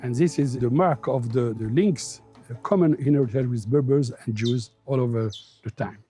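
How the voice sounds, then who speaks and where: A man with a mellow, warm voice speaks as the head of a religious community. His answers are earnest, with small hesitations. Faint music plays behind him. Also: medium pitch, normal speed. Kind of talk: interview answers.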